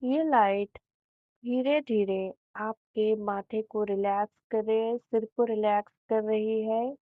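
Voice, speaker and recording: A woman with an Indian accent narrating a guided meditation in a soothing, unhurried manner, leaving long pauses between phrases. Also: on the high side, fairly even intonation, no room sound. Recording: thin, phone-like narrow band.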